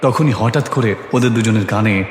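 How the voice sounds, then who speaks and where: A man's voice: lowish voice, moderate pace. A man reading a scripted horror story as its narrator. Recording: echoey, long hall-like reverb.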